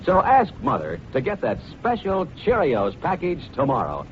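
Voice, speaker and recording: A man's voice: fairly high pitch, huge pitch swings, normal speed. A man announcing an old radio commercial to children, enthusiastic and emphatic. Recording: muffled, noisy old radio recording.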